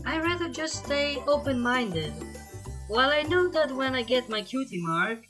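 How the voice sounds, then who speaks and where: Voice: medium pitch, pitched above the performer's own voice, natural voice. A reader as a careful, thoughtful young unicorn colt. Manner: careful, formal wording without contractions.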